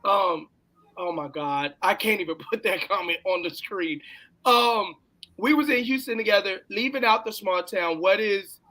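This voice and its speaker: Bright-voiced Texan man hosting a chat. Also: high-pitched, a little slow. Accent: Texas. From California, long settled in Texas. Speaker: talk show host. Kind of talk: casual live-stream interview chat.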